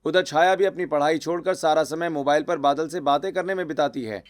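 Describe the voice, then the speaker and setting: High-pitched male story narrator. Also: a little fast. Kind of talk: scripted narration of an animated family drama. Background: music.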